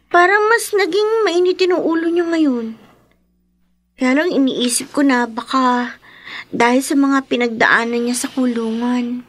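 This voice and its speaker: A woman acting in a Filipino radio drama as a dutiful but outspoken teenage daughter, with emotional, emphatic delivery. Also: high voice. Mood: polite, respectful.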